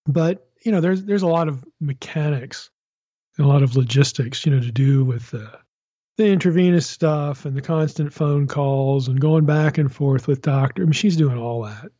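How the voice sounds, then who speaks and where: A man's voice: fairly high pitch, normal speed, dark tone, no room sound, highs cut off. A tired podcast host from Pennsylvania talking casually from his desk, rambling with many restarts and "you know" fillers. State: tired, low energy. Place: sitting at a home desk.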